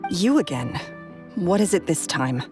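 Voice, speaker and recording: A woman's voice: fairly low pitch, big pitch swings, unhurried, over music. A woman voicing a guarded, embittered and wary adult woman in a video game. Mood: irritated. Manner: guarded, weary, earnest.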